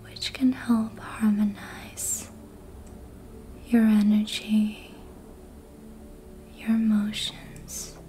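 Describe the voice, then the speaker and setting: A woman whispering softly and breathily in a gentle, soothing ASMR style, guiding a facial treatment in short phrases. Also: medium pitch, level tone, drawn-out words, pauses often. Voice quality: soft, breathy unvoiced whisper. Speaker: host, reiki and facial massage practitioner. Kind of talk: ASMR, slow guided narration of a treatment.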